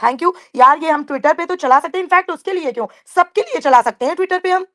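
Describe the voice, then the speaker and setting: A woman hosts a live panel in a high voice. Her delivery is casual and conversational as she moderates the other speakers. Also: normal speed, bright, forceful tone.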